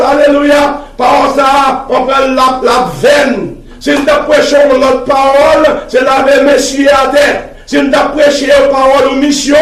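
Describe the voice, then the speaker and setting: A Haitian man delivers a fervent sermon in a very high-pitched voice. He is emphatic and repeats his phrases for weight, breaking in with exclamations of praise. The sound is echoey and distant from the mic, in a room with people. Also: a little hiss or hum. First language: Haitian Creole. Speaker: preacher. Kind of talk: fervent sermon mixing Creole and French.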